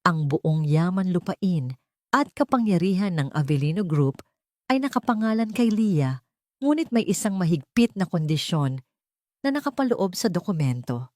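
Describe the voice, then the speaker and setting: A woman narrates a dramatic tale in a fairly low voice. The delivery is scripted storytelling, captured very dry with no room sound around it. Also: very animated, normal speed, darkish tone, dry recording.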